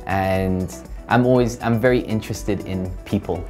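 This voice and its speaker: A deep-voiced man in his thirties from Hong Kong talks through an interview in an office, earnest and steady with plenty of ums. His lapel mic sits close, and a clear guitar track plays under his words. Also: normal speed. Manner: earnest, steady, filler-laden answers. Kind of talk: casual interview answers. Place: office room indoors. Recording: music bed under voice. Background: soft guitar music.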